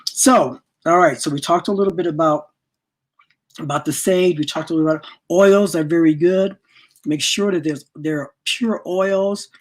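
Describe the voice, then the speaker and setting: Husky woman in her sixties, chatty teacher. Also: on the low side, moderate pace. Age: 60s. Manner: chatty, repeats words while searching for them. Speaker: spiritual wellness teacher. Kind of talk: informal workshop talk.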